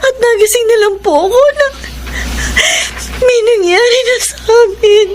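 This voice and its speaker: An actress playing a distraught young wife who pleads tearfully in a classic radio drama. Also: female voice, very high voice, a little slow, slight background noise.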